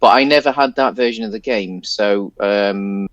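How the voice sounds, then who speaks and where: Low-voiced man chatting hesitantly about film. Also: normal speed, dry recording, lo-fi sound. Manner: hesitant, rambling. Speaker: podcast co-host. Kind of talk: casual film discussion.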